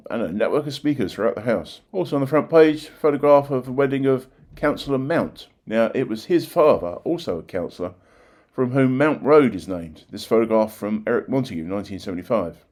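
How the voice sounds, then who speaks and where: Local history presenter: older male, fairly low voice, plain-spoken and conversational. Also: a little mellow. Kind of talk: informal narration of old newspaper clippings.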